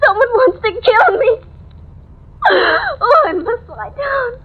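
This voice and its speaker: An actress playing a frantic, paranoid wife alone at home, breathless and halting, in old-time radio melodrama style. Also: female voice, extremely high pitch, big pitch swings, heavily acted. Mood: terrified, distraught. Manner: breathless, halting, repeating herself. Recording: muffled old radio drama recording.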